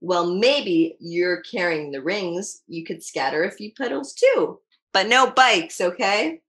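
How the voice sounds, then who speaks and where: A woman voicing a gentle but firm storybook mother in a calm, measured manner. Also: on the low side, pitched below the performer's own voice. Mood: giving in.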